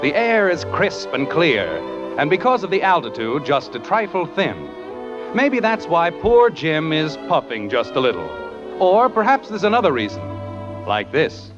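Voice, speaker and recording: A man's voice: fairly high pitch, big pitch swings, a little slow, lo-fi sound, over music. A man narrating a 1950s radio comedy as a genial storyteller, from an old broadcast. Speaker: program narrator.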